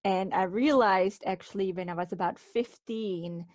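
A woman's voice: medium pitch, normal speed, a little mellow, dry recording, studio quality. A middle-aged woman from Switzerland hosting a podcast in a conversational style. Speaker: podcast host.

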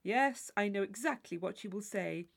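Audiobook role: woman as witty young gentleman, playfully affected, mock-formal, lively swooping delivery. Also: mid-range voice, normal speed, vocal fry. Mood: playful, mock-serious. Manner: arch, fluent, mock-formal. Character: witty, teasing gentleman in his mid-twenties, playfully affected. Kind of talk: classic novel audiobook character voice.

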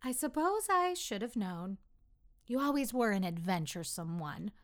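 A woman playing a kindly, wise and amused God who explains things gently and deliberately in a children's story. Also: mid-range voice, sing-song, natural voice.

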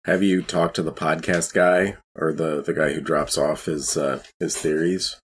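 A man playing a tabletop roleplaying game, talking casually in and out of character with a husky voice. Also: extremely low pitch, fairly even intonation, a little slow, a little hiss or hum. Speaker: tabletop RPG player.

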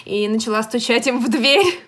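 High-pitched woman telling funny stories casually. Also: expressive, normal speed, a bit bright, breathy edge. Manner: self-deprecating, rambling, lighthearted. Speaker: podcast co-host. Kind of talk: casual humorous storytelling.

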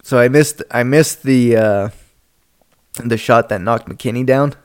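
A man's voice: medium pitch, unhurried, clean tone. A man in casual podcast chat who jokes, teases and swears freely while talking about fights. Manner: joking, teasing, swears freely, laughs often.

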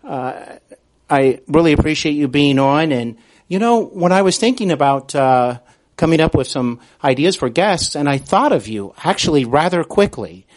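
Earnest male interview host, halting, dry sound. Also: on the high side, expressive, no room sound. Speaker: podcast host. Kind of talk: interview with spoken introduction.

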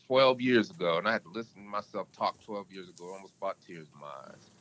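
A man's voice: quick pace, fairly bright tone, a little hiss or hum. A man guest on a podcast, talking in a casual, emphatic, storytelling way. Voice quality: can go deep. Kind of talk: casual interview talk.

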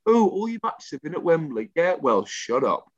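A young English man co-hosting a podcast in casual chat, ranting with animated, emphatic energy. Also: fairly high pitch, moderate pace, no echo. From England. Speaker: podcast co-host. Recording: dull dry home mic.

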